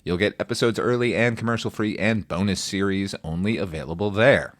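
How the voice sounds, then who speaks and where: Low, dry-recorded male podcast host. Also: a little fast, no echo. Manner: playful, theatrical. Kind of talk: scripted movie podcast monologue.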